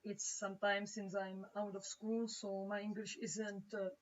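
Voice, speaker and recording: A young woman from the Czech Republic, a native Czech speaker with a Czech accent, chats casually on a drawing livestream and haltingly searches for words. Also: mid-range voice, little pitch movement. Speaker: livestreaming comic artist. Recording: dull, distant-sounding home microphone.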